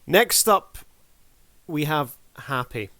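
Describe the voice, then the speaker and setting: A man's voice: on the high side, lively intonation, slow, bright, forceful tone, no echo. A man giving casual review commentary as a host, addressing his audience like a showman.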